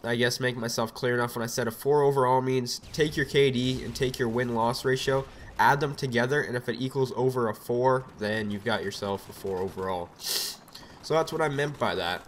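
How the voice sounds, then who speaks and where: A young man with a cold, his voice a bit raspy, talking loosely over his gameplay on a desk mic at home, rambling with lots of fillers. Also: mid-range voice, a little fast, a little hiss or hum. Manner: rambling, filler-heavy, laid-back. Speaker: gameplay commentator. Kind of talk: casual gameplay commentary. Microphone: USB desk mic.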